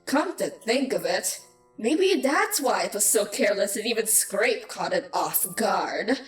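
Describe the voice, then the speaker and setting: A woman's voice: medium pitch, very animated, unhurried, soft background music. A woman voicing a wondering, speculative female changeling from an insect-pony swarm in an audio drama. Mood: puzzled. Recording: roomy, echoing voice track.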